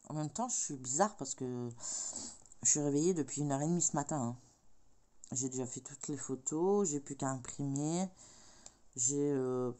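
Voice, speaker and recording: Middle-aged female crafter, mellow dark tone, a little stuffy from a cold, meandering chatty delivery. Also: low voice. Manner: rambling, hesitant, many filler sounds. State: has a cold. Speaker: craft vlogger. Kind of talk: casual chat while crafting.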